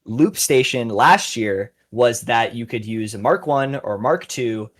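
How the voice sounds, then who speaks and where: A man's voice: mid-range voice, expressive, measured pace. Casual, enthusiastic man hosting a podcast. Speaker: podcast host.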